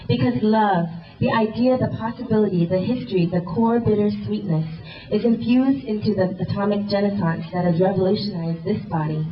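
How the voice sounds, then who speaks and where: A woman's voice: mid-range voice, fairly quick. Young woman performing earnest spoken word. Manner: rhythmic, earnest and introspective. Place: indoor event hall. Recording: roomy, distant, muffled live recording.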